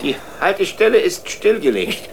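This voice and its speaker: A man's voice: sits high, very animated, normal speed, vocal fry, a little hiss or hum, full character voice, low-quality recording. A man playing a grumbling, freezing king and father in a children's radio play, terse and plain-spoken. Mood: weary, longing.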